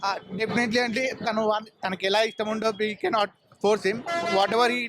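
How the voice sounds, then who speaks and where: A man's voice: high-pitched, little pitch movement, rapid-fire. A man attending with his son, answering hesitantly in English and Telugu. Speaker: parent at event. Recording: busy crowd behind. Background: crowd noise.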